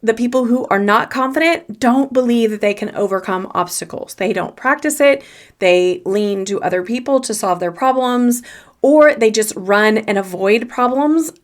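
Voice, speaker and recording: A woman's voice: on the high side, moderate pace. A woman with a faint Southern US accent giving earnest, encouraging advice in a solo motivational podcast, repeating her key phrases. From Southern United States. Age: young adult or older. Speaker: podcast host and confidence coach.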